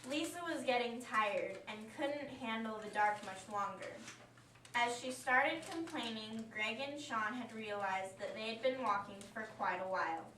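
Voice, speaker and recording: A woman's voice: on the high side, measured pace, pushed and bright. A woman reading scripted story narration aloud for an amateur puppet show. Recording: echoey room, distant mic, faint noise.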